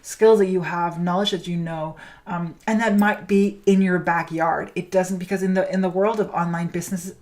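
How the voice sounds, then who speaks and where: Danish woman coach, native Danish, low-ish voice, earnest monologue full of ums and restarts. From Denmark. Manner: hesitant, many fillers and restarts; earnest, encouraging. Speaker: spiritual business coach. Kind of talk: solo podcast monologue.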